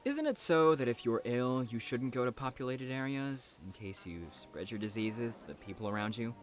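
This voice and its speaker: A man's voice: mid-range voice, sing-song, normal speed, soft background music, lightly acted. A man playing a sardonic, bossy young male student in an audio drama, sarcastic and needling. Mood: scolding, sardonic.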